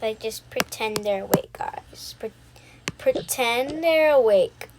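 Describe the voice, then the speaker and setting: A woman's voice: fairly high pitch, expressive, takes it slowly. A woman improvising a homemade doll role-play, talking casually and mumbling asides to viewers as she handles props. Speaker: storyteller voicing toy characters for a homemade video. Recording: very noisy home recording with clicks.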